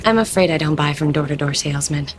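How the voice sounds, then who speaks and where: Actress as low-voiced, guarded widow. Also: female voice, fast, noisy background, close to an everyday voice. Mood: polite, reluctant. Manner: polite, reluctant. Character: weary, guarded widowed woman, polite but firm. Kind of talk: audio drama acting.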